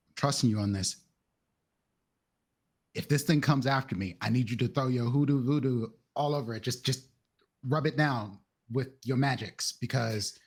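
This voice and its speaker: Husky-voiced man playing a tabletop game. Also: medium pitch. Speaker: tabletop role-playing player. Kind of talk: casual in-character roleplay and narration.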